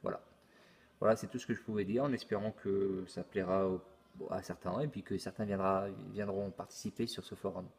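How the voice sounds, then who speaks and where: A middle-aged man hosting his channel, explaining a forum casually, halting often and repeating words with many fillers. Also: low-pitched, steady tone, a little mellow, breathy edge. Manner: plain explanatory tone. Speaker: YouTube channel host. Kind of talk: casual screen-recorded forum walkthrough.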